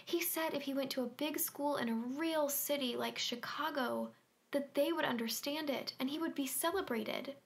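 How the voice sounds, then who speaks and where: A woman's voice: sits high, normal speed, vocal fry. A woman playing an earnest, timid but persistent young client, speaking plainly and probingly in a naturalistic audio play. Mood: insistent, earnest. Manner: plain, probing short questions and statements.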